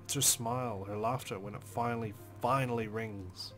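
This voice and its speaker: Low-voiced man reading a story dramatically. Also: fairly even intonation, moderate pace, fairly bright tone. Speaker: let's play host reading game narration. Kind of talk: expressive first-person story narration. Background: music.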